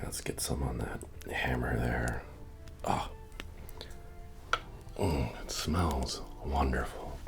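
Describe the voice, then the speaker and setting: A man playing a friendly, humble medieval innkeeper and retired adventurer in a soft-spoken, folksy ASMR fantasy roleplay, with hesitant murmuring. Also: full character voice. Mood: hospitable, soothing. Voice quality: deep, gravelly, often breathy or whispered. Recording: music under the voice. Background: medieval bard music.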